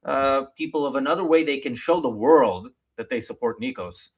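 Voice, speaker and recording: A man's voice: medium pitch, normal speed. A man hosting a casual live talk show, speaking in a bantering, teasing way.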